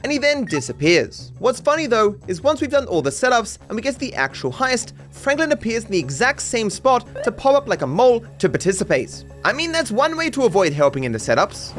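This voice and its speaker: A man's voice: extremely high pitch, big pitch swings, brisk, few pauses, fairly bright tone. A man reading scripted comedic commentary on a video game in a dry, mock-serious, sarcastic way. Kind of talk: scripted comedic narration over game footage. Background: music under the voice.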